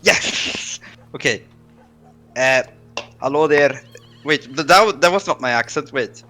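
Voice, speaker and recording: A man playing a tabletop game, flustered, loud and full of fillers. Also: medium pitch, a little slow, slight background noise. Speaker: player. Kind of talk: tabletop roleplay over voice chat.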